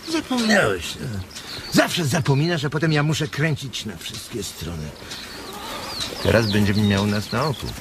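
A gruff, exasperated drifting farmhand in a radio drama. His mid-pitched voice swings wildly up and down, protective and sharp, over loud birdsong. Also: sing-song, background noise. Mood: irritated. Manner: gruff, exasperated, quick-tongued. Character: sharp, irritable, protective male migrant ranch worker. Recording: loud outdoor ambience with birdsong.

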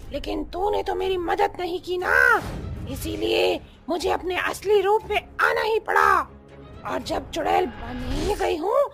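A woman voicing a vengeful, murderous witch ghost in a horror cartoon, eerie and threatening, with drawn-out menace. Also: very high-pitched, creaky, a bit bright, exaggerated voice. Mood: gloating menace. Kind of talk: Hindi horror cartoon voice acting.

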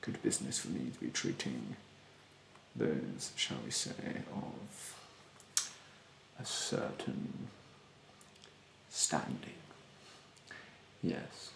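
Snobbish male skincare therapist, acted by a young man, ASMR roleplay. Half-whispered, very gravelly, condescending politeness with swooping intonation. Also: very deep voice, lively intonation, drawn-out words, pauses often, a bit bright, echoey room, slight background noise, voice pushed down, heavily acted, low-quality recording. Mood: snide politeness. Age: young adult. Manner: hesitant, condescending, haughtily polite. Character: rude, snobbish, fastidious male facial-treatment therapist. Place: bedroom, indoors. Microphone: close mic in front.

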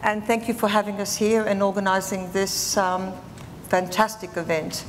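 A woman's voice: mid-range voice, little pitch movement, normal speed, slight background noise. Chatty older woman on a hall stage. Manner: talkative, warm, many ums. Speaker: panelist. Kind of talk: panel talk. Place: conference stage in a large hall. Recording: reverberant hall recording.

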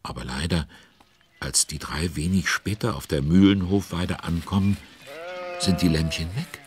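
A man's voice: low voice, moderate pace, natural voice. A man narrating a children's audio play in a chatty, wry storyteller's manner. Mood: wry sympathy. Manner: commenting chattily on events, addressing listeners.

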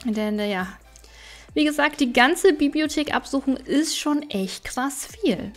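A woman's voice: high voice, lively intonation, measured pace, faint music behind. A woman giving casual, chatty gameplay commentary, thinking aloud as she plays. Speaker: let's player.

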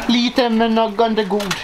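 Young guy, high voice, jokey vlog talk, noisy. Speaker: vlogger. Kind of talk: casual vlog chat.

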